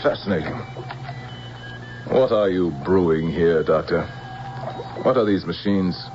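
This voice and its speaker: An actor playing a menacing, imperious general in an old-time radio drama, giving clipped commands and cold threats. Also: male voice, low-pitched, a little slow, music in the background. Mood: curt, imperious. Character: menacing, commanding male general plotting interplanetary war. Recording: muffled vintage radio broadcast, faint hiss.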